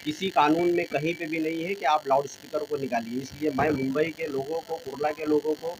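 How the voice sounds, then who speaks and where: A man's voice: a little high, steady tone, brisk, a little hiss or hum, low-quality recording. A man with a Mumbai Hindi accent giving an interview statement, appealing insistently and repeating his points. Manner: insistent, repetitive. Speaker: local mosque representative being interviewed.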